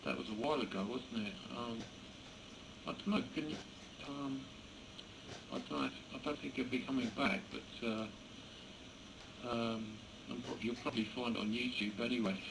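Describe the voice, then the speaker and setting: Male radio hobbyist, halting casual delivery, gravelly fry, clearly noisy camera audio. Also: mid-range voice. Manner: hesitant, halting, chuckling. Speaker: amateur radio operator. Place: indoors beside a computer.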